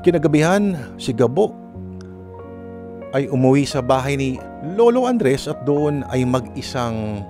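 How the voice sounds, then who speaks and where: Animated male radio host over music. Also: mid-range voice, unhurried, long pauses. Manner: chatty, dramatic, addresses listeners often. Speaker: radio drama program host and narrator. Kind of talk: conversational radio hosting and storytelling.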